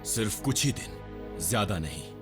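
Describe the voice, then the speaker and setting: A man voicing a knowledgeable veteran robot in a cartoon dub, gruff and matter-of-fact. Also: lowish voice, big pitch swings, a little slow, over music. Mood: grave. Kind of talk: dubbed cartoon acting.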